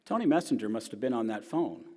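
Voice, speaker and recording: A middle-aged man with a loud, strong voice gives a talk as a newspaper columnist. He tells personal stories earnestly, rising to emphatic points and dropping to quiet asides. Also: mid-range voice, big pitch swings, fast. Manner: earnest storytelling, swinging between emphatic and quiet. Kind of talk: personal lecture to an audience. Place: large hall. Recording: long hall reverb.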